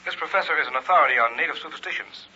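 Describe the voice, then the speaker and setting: An actor in an old-time radio drama playing a concerned, measured doctor who advises a friend. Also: male voice, on the high side, sharp tone. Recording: muffled, noisy vintage radio recording.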